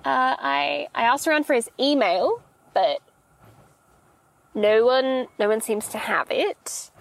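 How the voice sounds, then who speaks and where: Actress as anxious young female shop employee, high voice, polite and rambling, hesitant naturalistic audio-fiction delivery. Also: expressive, takes it slowly, gravelly, full character voice. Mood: uneasy, rambling. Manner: hesitant, rambling, nervous stammering and self-correcting.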